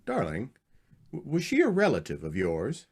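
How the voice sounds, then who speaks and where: A man's voice: mid-range voice, huge pitch swings, normal speed, a little mellow, husky, full character voice. A man acting an earnest, uneasy husband in an old-time radio mystery, questioning and hesitant. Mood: probing, uneasy.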